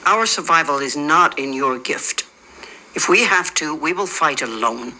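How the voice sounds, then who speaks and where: A woman's voice: sits low, expressive, unhurried, sharp tone, a little hiss or hum, full character voice, rough recording. An actress playing a stern elderly woman national leader who speaks with cold, commanding defiance. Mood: defiant. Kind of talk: film drama acting.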